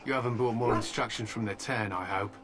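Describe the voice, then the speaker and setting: An actor playing a curt, gruff male warrior leader in a video game, blunt and impatient. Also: lowish voice, fairly even intonation, brisk, a crowd in the background, close to an everyday voice.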